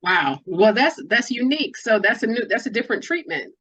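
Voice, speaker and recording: A woman with a somewhat high voice hosts a casual interview. Her phrasing is informal and slangy, and the sound is dry with hardly any room on it. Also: big pitch swings, brisk, a bit bright, breathy edge, no echo. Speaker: interviewer, host.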